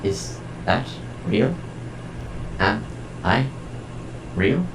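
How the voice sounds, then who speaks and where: A man playing an earnest, newly self-aware house A.I. in an audio drama, with formal, measured, stilted phrasing. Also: on the low side, big pitch swings, gravelly, full character voice. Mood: uncertain, searching. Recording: echoing voice over music.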